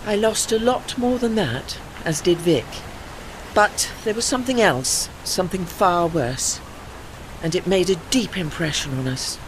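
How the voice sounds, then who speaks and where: A woman playing a dignified, retired old warship in an audio drama, gentle and wistful, speaking in a measured, articulate and formal way. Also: lowish voice, lively intonation, noisy background. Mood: solemn recollection.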